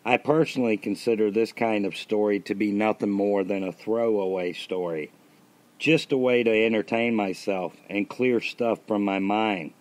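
A man reads a story aloud in a fairly low voice, with long reverb trailing his words. He sounds like a host narrating his own writing. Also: normal speed. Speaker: host reading own stories. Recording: long reverberant hall-like echo.

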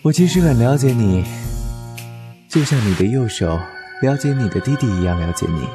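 A man playing a melodramatic, clingy, self-pitying young boyfriend in a comic audio drama, with cheeky, mock-solemn and drawn-out delivery. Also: medium pitch, animated, over music, natural voice.

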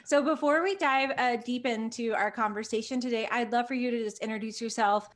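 A woman in her thirties hosts a podcast interview over a video call, talking into a headset from a small room at home. Her voice sits a little high. She laughs often and keeps the talk warm with encouraging interjections. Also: fairly quick. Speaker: podcast host.

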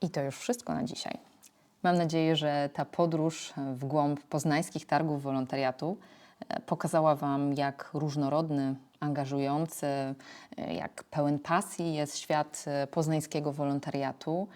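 A woman's voice: deep voice, flat delivery, a little slow, darkish tone. A woman presenting as a podcast host, narrating with brief hesitations.

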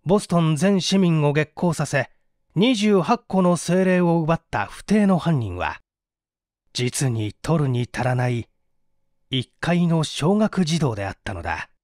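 A man's voice: on the high side, darkish tone, dry recording, studio quality. A man reading a mystery story as an audiobook narrator, in a formal, theatrical style.

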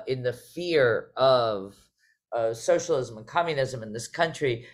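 Middle-aged woman moderating a panel. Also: very deep voice. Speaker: host, artistic director. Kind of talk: moderating a casual panel discussion. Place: indoors, on a video call. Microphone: video call microphone.

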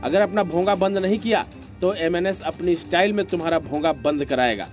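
A man narrates a scripted news report in a high-pitched voice. His delivery is dramatic and punchy, with faint music underneath. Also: a little fast. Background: light news music.

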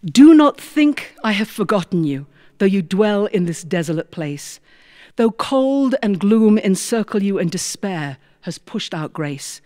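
A middle-aged British woman telling a story live, with dramatic, deliberate delivery. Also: mid-range voice, very animated, warm tone. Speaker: storyteller, former prison chaplain. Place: recording studio with small audience.

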